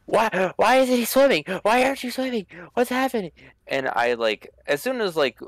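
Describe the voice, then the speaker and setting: A man's voice: high voice, normal speed, no room sound, studio quality. A man on a casual podcast telling stories from his job, acting out customers and swearing for emphasis. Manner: animated storyteller, acts out quotes, swears. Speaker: podcast co-host. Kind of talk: casual chat, storytelling.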